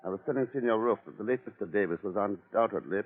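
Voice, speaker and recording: An actor as a low-voiced, hard-boiled American investigator. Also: male voice, quick pace, natural voice. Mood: terse, suspicious. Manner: clipped, slangy, hard-boiled. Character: wry, watchful American investigator, a tough traveling man. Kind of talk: old-time radio drama acting. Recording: narrow, tinny vintage radio recording.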